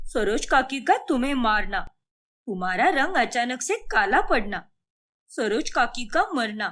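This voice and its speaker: Woman acting a high-voiced vengeful young sorceress. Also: a bit bright, exaggerated voice. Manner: accusing, dramatic.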